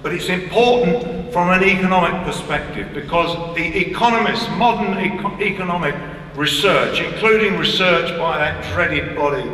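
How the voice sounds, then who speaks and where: A man giving a deliberate, emphatic public speech at a lectern on stage, pausing to stress his points. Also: high-pitched, pushed and bright, a little hiss or hum. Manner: emphatic, deliberate, with measured pauses and repetition. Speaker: speaker at a political conference. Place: large hall on stage. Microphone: stand mic at a lectern, heard from a distance. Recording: echoey hall, distant mic.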